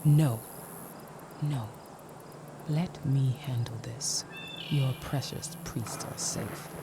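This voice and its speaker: Ruthless commanding ruler, imperious, measured formal speech, game cutscene, clear background noise. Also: female voice, rumbling low, exaggerated voice. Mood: calculating. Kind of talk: video game cutscene acting.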